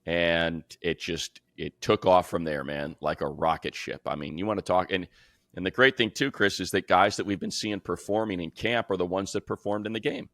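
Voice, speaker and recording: A man with a deep voice talks football as a podcast co-host. His delivery is conversational and colloquial, like an analyst chatting with a colleague. The sound is dry, with very little room on it. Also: moderate pace, a bit bright, no room sound. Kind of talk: casual sports talk.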